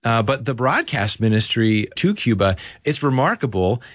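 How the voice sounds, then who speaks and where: A man who leads a ministry and hosts the conversation, speaking slowly and with measured, filler-laden phrasing. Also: mid-range voice, very animated, no room sound, clean studio sound. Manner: slow, measured, many uh fillers. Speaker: ministry leader and host.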